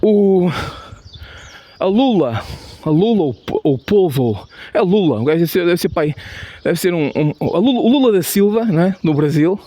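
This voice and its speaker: Portuguese guy with a high voice ranting to camera, stops and restarts a lot, hammers his points. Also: animated, dark tone. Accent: European Portuguese. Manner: halting and repetitive, many restarts, emphatic. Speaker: video commentator. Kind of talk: unscripted opinion monologue.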